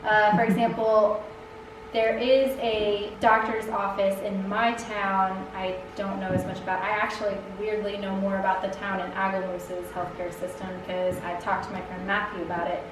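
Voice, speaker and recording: A woman's voice: mid-range voice, little pitch movement, moderate pace. Young woman, chatty, laughs a lot, distant mic, very echoey room, noisy background. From United States. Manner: chatty, laughs often, lots of fillers. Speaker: Peace Corps volunteer answering questions. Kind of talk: casual Q&A chat.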